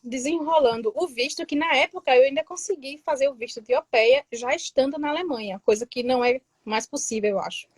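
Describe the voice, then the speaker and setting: A woman from Northeast Brazil, a guest telling her story casually and lively in an interview. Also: high-pitched, brisk, few pauses, breathy edge, no echo. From Northeast Brazil, Pernambuco interior. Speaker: guest, tax assistant in Germany.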